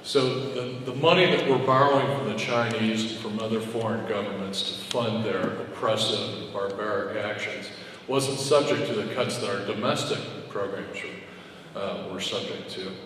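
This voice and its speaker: A man's voice: steady tone, normal speed, a bit bright. An American man speaking up as an audience member at a public meeting. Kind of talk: making a prepared point from the audience. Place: large indoor public meeting space. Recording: boomy echoing hall, distant, noisy.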